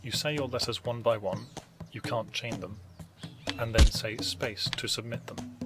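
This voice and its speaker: A man narrates a gameplay tutorial in a fairly low voice. His delivery is scripted and measured, explaining things step by step. Music plays clearly behind him.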